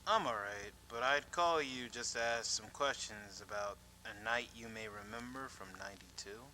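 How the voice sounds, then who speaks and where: A man playing a measured, polite police detective questioning witnesses in an amateur audio drama. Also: fairly low pitch, bright, forceful tone, slight background noise, poor audio. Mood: polite, probing.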